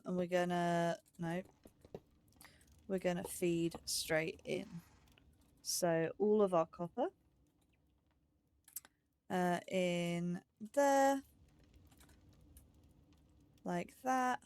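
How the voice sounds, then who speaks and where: A woman's voice: fairly low pitch, darkish tone. A woman narrating her gameplay in a casual, chatty way, thinking aloud as she goes. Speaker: let's play gamer.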